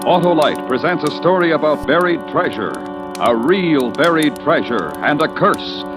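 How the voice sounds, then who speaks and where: A man's voice: on the high side, lively intonation, normal speed. A man introducing a vintage radio thriller in a solemn, suspenseful, dramatic style. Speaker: radio program announcer. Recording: vintage radio, loud orchestral music.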